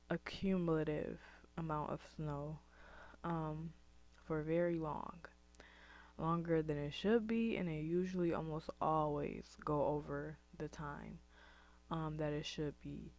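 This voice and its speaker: A young woman with a dry, scratchy throat chats to her camera in an unhurried, meandering way, filling pauses with ums and likes. The sound is dull and muffled. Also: low-pitched, steady tone, darkish tone. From upstate New York. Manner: rambling, lots of ums and likes. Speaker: vlogger. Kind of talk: casual chatty vlog. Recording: dull lo-fi recording.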